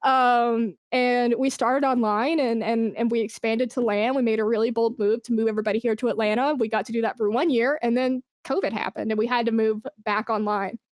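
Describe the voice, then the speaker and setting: A woman's voice: a little high, fairly even intonation, fairly quick, few pauses, dry recording, studio quality. A woman speaking as a podcast guest in a casual, informal interview, effusive and emphatic about their work as an esports brand manager.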